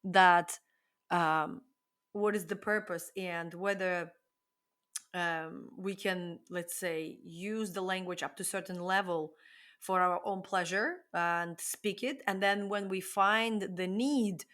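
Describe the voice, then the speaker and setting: A woman co-hosting a casual podcast conversation, thinking aloud in a reflective way with frequent pauses. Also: fairly low pitch, fairly even intonation, a bit bright. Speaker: podcast co-host.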